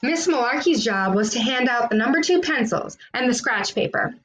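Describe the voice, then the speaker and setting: A woman's voice: a little high, lively intonation, normal speed, a bit bright, slight background noise, poor audio. A woman reading a children's storybook aloud, narrating expressively in the words of a school kid. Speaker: children's read-aloud host.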